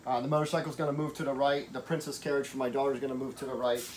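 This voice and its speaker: A man's voice: mid-range voice, little pitch movement, very fast, a little mellow, slight background noise. A middle-aged man casually explaining a home project step by step in his garage and yard, filmed on a handheld camera. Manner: chatty, plainspoken, explains step by step. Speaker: DIY vlogger. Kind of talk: casual how-to vlog. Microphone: handheld camera close up.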